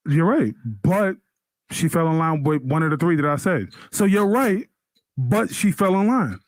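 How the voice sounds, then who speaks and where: A man's voice: fairly high pitch, lively intonation, moderate pace, dry recording. A man in his thirties, a podcast co-host, arguing his point in a heated casual debate and repeating himself when pressed. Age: 30s.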